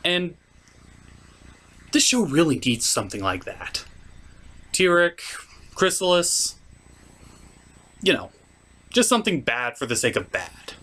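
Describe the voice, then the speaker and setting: A man's voice: a little high, big pitch swings, slow pace, long pauses, sharp tone. Male comedy reviewer: offhand, rambling delivery, sardonic asides, casual host feel. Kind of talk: casual comic review commentary.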